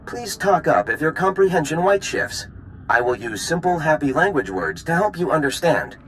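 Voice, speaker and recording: An actor playing a politely pedantic alien science unit in a video game, with formal, oddly stilted delivery. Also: male voice, on the high side, sing-song, normal speed, fairly bright tone, slight background noise, poor audio. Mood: formal, curious.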